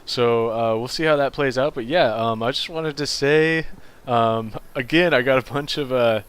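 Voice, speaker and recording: A man's voice: moderate pace, clear, resonant tone. A young man with a nasal voice giving casual commentary on a strategy video game, rambling loosely and then speeding up and rising as the fights start. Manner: relaxed and rambling, gets louder and higher as the action heats up. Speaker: game caster. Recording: music playing behind the voice.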